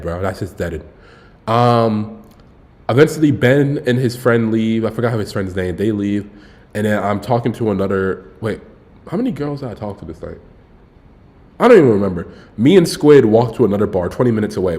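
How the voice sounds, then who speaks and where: A young man in his twenties with a fairly low voice, chatting loosely to his stream audience. He rambles through a story in slangy, relaxed talk, stopping to correct himself and dropping "bro" along the way. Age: 20s. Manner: laid-back, frequent "bro" asides. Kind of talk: casual storytelling to viewers.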